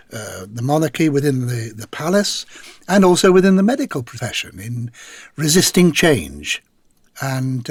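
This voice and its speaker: A man gives reflective commentary as an interviewee. His husky voice moves widely in pitch as he hunts for considered phrasing, recorded close and dry. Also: no echo. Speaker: actor interviewed about his role.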